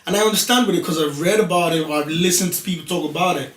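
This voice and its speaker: An assertive man holding his ground in a lively group discussion. His voice sits a little higher than most men's. He sounds set back from the mic in a roomy, echoey space. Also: racing, a little hiss or hum. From Nigeria. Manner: assertive, talks over others to make his point. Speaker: talk show guest. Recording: roomy studio, voice heard from a distance.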